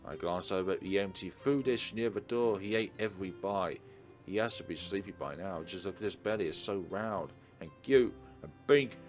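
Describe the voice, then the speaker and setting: A man hosting a let's play, reading a visual novel aloud expressively and adding casual commentary. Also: fairly low pitch. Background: soft game music.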